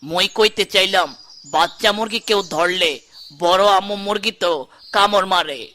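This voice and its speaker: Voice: mid-range voice, moderate pace, bright, forceful tone, lightly acted. A performer voicing a friendly, bumbling farmer uncle in a children's cartoon, rustic and colloquial, with a Bangladeshi accent. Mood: explaining, apologetic. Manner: rustic, colloquial, good-natured.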